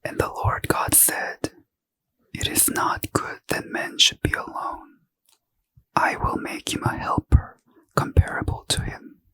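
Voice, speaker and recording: A man reading scripture as a bedtime ASMR story in a breathy, hushed, careful whisper, close to the mic. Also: no room sound, rough recording. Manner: slow, careful, hushed delivery. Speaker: ASMR reader.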